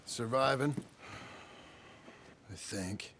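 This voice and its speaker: An actor playing a gruff, weary American outlaw turned ranch hand, speaking tersely in plain rural words with a gravelly voice in a game drama. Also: male voice, medium pitch, extremely slow, very noisy. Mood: downcast. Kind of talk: naturalistic video game drama acting.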